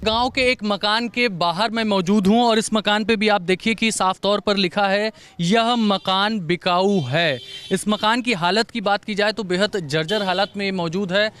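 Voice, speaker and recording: A man's voice: sits high, unhurried, fairly bright tone, slight background noise. A man working as a field news reporter, speaking on location and putting questions to locals. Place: outdoors in a village.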